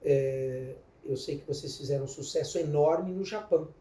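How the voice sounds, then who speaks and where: A man with a Brazilian accent hosting an interview in a measured way, with frequent short sounds of agreement. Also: on the high side, crowd noise. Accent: Brazilian Portuguese. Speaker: interviewer, host. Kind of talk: interview conversation. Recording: roomy echo, mic at a distance.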